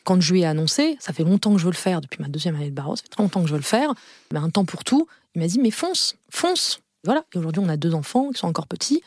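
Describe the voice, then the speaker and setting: Frank woman, low voice, many fillers. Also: lively intonation, fast talker, a little mellow, no echo, studio quality. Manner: earnest, frank, repeats herself and hesitates with many fillers. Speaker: lawyer and law firm partner. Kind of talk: podcast interview guest.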